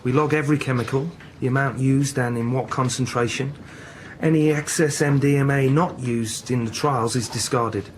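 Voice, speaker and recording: Young male PhD researcher, actor, radio drama: medium pitch, relaxed charmer, wry delivery, slight background noise. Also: normal speed, lightly acted. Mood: matter-of-fact. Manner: wry, casual, smooth-talking. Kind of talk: naturalistic radio drama acting.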